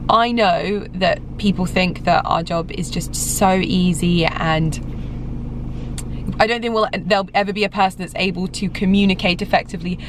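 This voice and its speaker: A young English woman vlogger in a casual, rambling update, her voice slightly croaky after being ill. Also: lowish voice, fairly even intonation, normal speed, background noise. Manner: chatty, rambling, frequent restarts and fillers. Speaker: lifestyle vlogger. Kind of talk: casual vlog update to camera.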